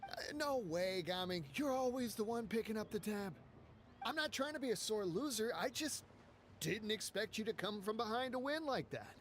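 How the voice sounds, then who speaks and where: A man's voice: sits high, lively intonation, moderate pace, fairly bright tone, a little hiss or hum. A man playing a proud but good-natured young rival and friend in a video game, earnest and a little defensive. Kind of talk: video game character acting.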